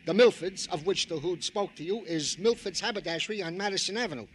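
Man acting a high-voiced, chatty police sergeant sidekick. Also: fast, lightly acted. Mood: reporting, wry. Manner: wordy, mock-formal, fussy. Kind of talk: 1940s-50s radio acting. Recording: noisy vintage radio recording.

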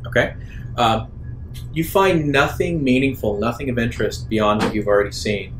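A man narrating an improvised tabletop roleplaying game as game master, in a measured way with hesitant pauses. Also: medium pitch, fairly bright tone, soft background music. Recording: roomy echo, faint background noise.

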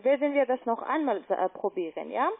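High-voiced woman with a foreign accent, phone line. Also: expressive, creaky. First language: not German. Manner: formal, repetitive, halting. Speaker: call-centre agent. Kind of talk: phone instructions. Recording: muffled, tinny phone line.

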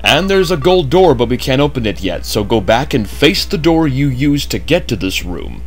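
A man's voice: medium pitch, big pitch swings, moderate pace, faint music behind. A man narrating a game walkthrough in a chatty way with jokey asides, just woken up. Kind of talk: casual gameplay commentary.

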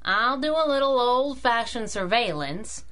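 A woman's voice: fairly high pitch, expressive, unhurried, fairly bright tone. A woman playing a plucky, sassy crime-fighting sidekick in a pulp radio drama, with wry, slangy, wisecracking delivery. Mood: matter-of-fact. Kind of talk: 1930s-style pulp radio drama acting.

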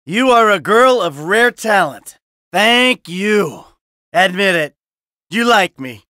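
A man's voice: sits high, animated, takes it slowly, bright, forceful tone, no room sound, clean studio sound, heavily acted. A man voicing a cynical, brash middle-aged American criminal in a video game, sarcastic and foul-mouthed. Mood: smooth, persuasive. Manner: sardonic, brash, swearing.

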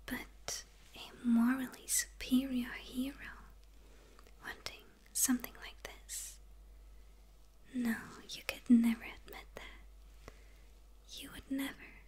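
A woman's voice: high-pitched, flat delivery, darkish tone, heavily acted. Woman whispering as a gloating demon villainess. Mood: smug teasing. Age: young adult. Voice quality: breathy whisper.

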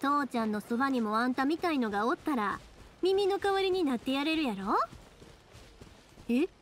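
High-voiced spirited Kansai girl. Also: expressive, moderate pace, a little hiss or hum. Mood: friendly, thoughtful. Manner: reassuring, friendly, earnest. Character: spirited, caring young woman who makes fireworks. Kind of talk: video game voice acting. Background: background music.

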